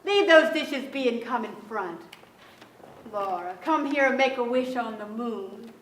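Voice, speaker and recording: A woman's voice: on the high side, animated, unhurried, roomy sound, a little hiss or hum, poor audio. An actress playing a proud, controlling, fanciful middle-aged mother in theatrical stage style. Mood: dreamy, urging. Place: theatre stage before an audience.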